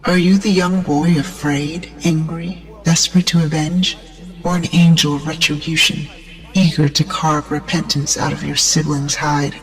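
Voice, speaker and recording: A woman's voice: low-pitched, level tone, normal speed, soft background music, rough recording. A woman playing an imperious masked shrine guardian in a video game, solemn and zealous, speaking in grandiose incantations. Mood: stern, probing. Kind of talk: video game cutscene acting.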